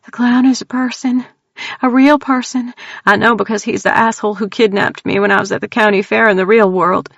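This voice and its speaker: A woman's voice: fairly even intonation, gravelly, a bit bright, no echo. A woman doing scared young East Texas woman Tildy. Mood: frantic. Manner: high-strung, rapid, swearing. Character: frightened young Southern woman, crude and tearful. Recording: dull dry audio.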